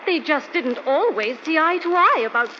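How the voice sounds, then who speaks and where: A woman's voice: high voice, lively intonation, normal speed. An actress playing an earnest, anxious young lady's companion in an old-time radio mystery, speaking politely. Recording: noisy, muffled old radio recording.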